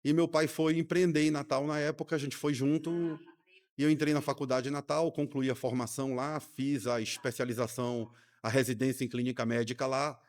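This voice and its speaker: A man's voice: mid-range voice, level tone, a little fast, a little mellow. Earnest doctor in his fifties. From Rio de Janeiro, Brazil. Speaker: doctor, interview guest. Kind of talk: casual interview conversation. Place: studio.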